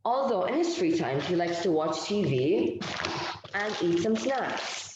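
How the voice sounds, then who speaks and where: A man's voice: sits high, big pitch swings, unhurried, background noise. A teenage boy from Bahrain giving a prepared speech as club president, reciting it from memory. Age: teens. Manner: recites a memorized speech, third-person self-story. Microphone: video call. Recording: dull echoey video-call audio.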